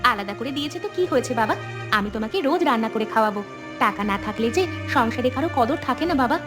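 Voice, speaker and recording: A woman voicing a dutiful, gentle young village daughter in a cartoon dub. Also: a little high, lively intonation, very fast, over music, natural voice. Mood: caring. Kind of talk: Bengali cartoon dubbing.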